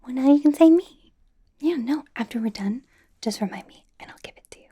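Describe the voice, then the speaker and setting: Breathy, whispering big-sister K-pop idol played by a woman. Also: high voice, expressive, fairly quick, mellow. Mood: encouraging, playful. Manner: encouraging, rambling, playfully reassuring. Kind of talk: ASMR roleplay with personal attention.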